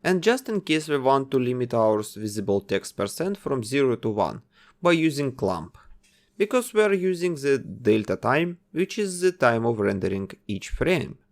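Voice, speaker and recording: Man with a lively voice narrating a coding tutorial. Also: medium pitch, normal speed. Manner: explains code step by step while testing it. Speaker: game development tutor. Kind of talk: screen-recorded coding tutorial.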